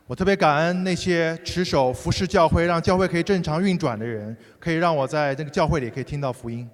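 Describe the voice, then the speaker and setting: A man interpreting a sermon consecutively, rendering each phrase fluently and briskly. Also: fairly high pitch. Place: large church hall. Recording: hall reverb.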